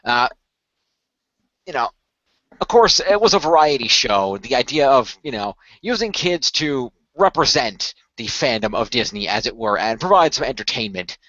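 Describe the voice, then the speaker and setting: A man's voice: medium pitch, very animated, moderate pace, a bit bright, dry recording, highs cut off. A man playing a polite, eager young studio employee in a radio play, apologetic and hesitant as he explains things at length. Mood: informative, chatty.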